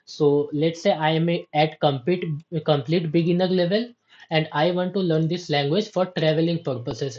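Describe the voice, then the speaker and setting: A woman demonstrating her software in a slow, explanatory way, often restarting her sentences. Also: low-pitched, lo-fi sound. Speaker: app developer giving a demo. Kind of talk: screen-recorded software walkthrough.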